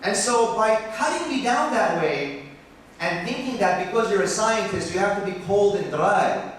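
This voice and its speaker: American male biology professor, passionate lecture at a conference, fairly high voice, heard from afar in a reverberant hall, faint background hiss. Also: normal speed. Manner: passionate, rising in pitch when animated. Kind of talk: conference talk. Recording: echoey hall from far off.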